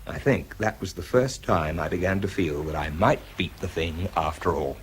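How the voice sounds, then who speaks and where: Actor as low-voiced, strained bomb disposal man. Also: slight background noise, close to an everyday voice. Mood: weary, reflective. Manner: halting, hesitant, muttering as he works. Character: exhausted, shaky male bomb disposal expert, methodical but strained. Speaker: actor and narrator in a radio drama. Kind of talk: radio drama acting, first-person monologue.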